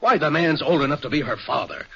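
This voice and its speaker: A man's voice: a little high, expressive, fast, vocal fry, pushed and bright, breathy edge, exaggerated voice. An actor playing a worried, indignant middle-aged father, formal and insistent, in 1940s radio drama style. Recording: muffled 1940s radio broadcast.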